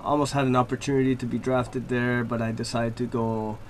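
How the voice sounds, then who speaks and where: Man, easygoing radio guest, mid pitch, faint hiss behind. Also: brisk. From Laval, Quebec, Canada. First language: French. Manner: relaxed, rambling with many fillers. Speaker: hockey player guest. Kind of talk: radio interview.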